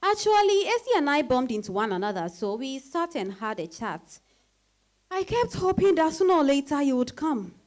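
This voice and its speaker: Woman acting outspoken, high-pitched teasing best friend. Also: normal speed. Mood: suspicious, resigned. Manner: animated, exclamatory, playful. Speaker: actor in a dramatized reading. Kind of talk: dramatized dialogue reading.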